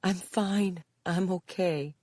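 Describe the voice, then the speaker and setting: A woman's voice: lowish voice, fairly even intonation, takes it slowly, darkish tone, no room sound, pitched above the performer's own voice. A female narrator voices an uneasy younger woman in an audiobook. She is polite and guarded, offering short reassurances and questions. The recording is dry. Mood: guarded, reassuring.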